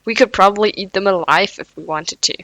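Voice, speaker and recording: A woman's voice: mid-range voice, brisk, fairly bright tone, no room sound, natural voice, low-quality recording. A woman voicing a cocky, laid-back male college volleyball player with a teasing, dismissive manner.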